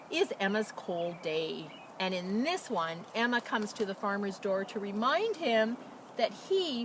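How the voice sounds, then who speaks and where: A woman reading aloud as a children's storyteller, with expressive, dramatic emphasis and casual delivery. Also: mid-range voice, noisy background. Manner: animated, dramatic emphasis and drawn-out words.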